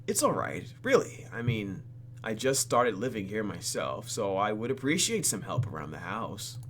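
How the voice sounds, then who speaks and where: Man reading as a halting, earnest young heroine. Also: fairly low pitch, sing-song, fairly bright tone, slight background noise.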